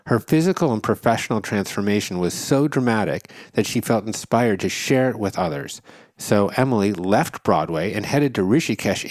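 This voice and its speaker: A middle-aged man hosting a podcast interview, reading a scripted intro and then asking measured, earnest questions. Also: on the low side, darkish tone, no echo. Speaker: podcast host.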